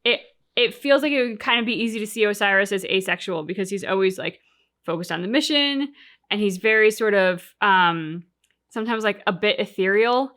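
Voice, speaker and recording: A woman's voice: mid-range voice, brisk, fairly bright tone. A woman co-hosting a game reaction, talking casually and enthusiastically in loose, informal sentences. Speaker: reaction channel co-host. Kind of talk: casual reaction chat about a video game.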